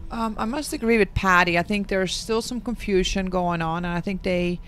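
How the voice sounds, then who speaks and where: A woman's voice: mid-range voice, moderate pace, a bit bright, a little hiss or hum. A woman with a Dutch accent commentating on tennis, hesitant and full of ums. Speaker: guest commentator. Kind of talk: sports commentary.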